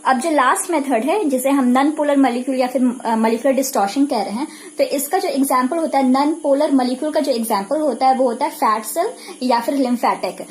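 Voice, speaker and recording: A woman's voice: high voice, fairly quick, a little mellow, low-quality recording. A woman with an Indian accent giving an explanatory lecture in a didactic way, posing questions and then answering them herself. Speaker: physiotherapy lecturer. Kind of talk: explanatory lecture mixing Hindi with English technical terms.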